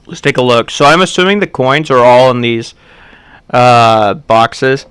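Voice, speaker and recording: A hoarse man who is losing his voice, casually talking through a game as he plays and working out his next steps aloud. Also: mid-range voice, animated, a little slow, a bit bright, no echo. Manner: thinking aloud, methodical. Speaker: gameplay streamer. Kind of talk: casual gameplay commentary.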